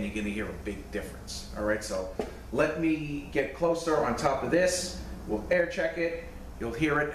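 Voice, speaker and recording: A middle-aged man with a New York accent talks through his work in a casual, rambling way, full of fillers. He sits well back from the camera mic in a reverberant repair shop. A faint steady hum sits under his voice. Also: medium pitch, far from the mic. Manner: plainspoken, rambling with fillers, hands busy working. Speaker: transmission mechanic. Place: cluttered indoor repair shop. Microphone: camera mic, a few feet away.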